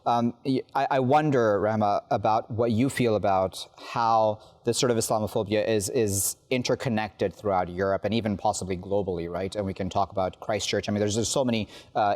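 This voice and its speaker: A man's voice: lowish voice, steady tone, brisk, few pauses, a little mellow. A man hosting a news panel, asking questions in a conversational way with many fillers. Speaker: host.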